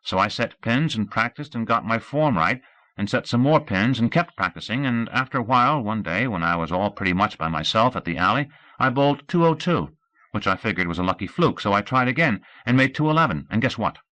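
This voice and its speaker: Brief: earnest teenage boy athlete, lively raised voice, read by a male narrator. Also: lowish voice, a little fast, voice pushed up, rough recording.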